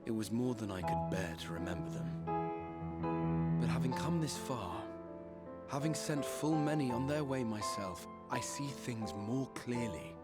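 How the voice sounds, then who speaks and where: An actor voicing an earnest, noble, weary fantasy game hero who speaks formally and eloquently. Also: male voice, medium pitch, animated, loud music behind the voice, close to an everyday voice. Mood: wistful, hopeful. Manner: formal, eloquent, measured storytelling. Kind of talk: dramatic video game voice acting.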